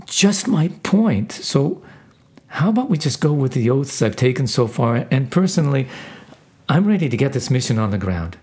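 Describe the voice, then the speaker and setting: A man voicing a panicky, defiant young soul who refuses its mission, in a high, whiny, frantic voice with a husky edge. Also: very animated, moderate pace, darkish tone. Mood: pleading.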